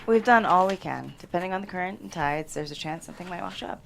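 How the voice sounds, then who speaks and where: A woman's voice: fairly low pitch, quick pace. A woman playing a weary, formal, unhelpful police lieutenant in a film script table read. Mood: weary, official. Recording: clearly noisy room microphone with clicks.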